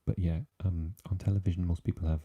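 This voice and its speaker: A man with a Swansea Welsh accent, chatting softly with live viewers and often dropping into an unvoiced whisper. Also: little pitch movement, fast talker, husky. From Wales. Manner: mixes unvoiced whispering with low, deep speaking. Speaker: ASMR live streamer reading chat. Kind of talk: soft-spoken live chat with viewers.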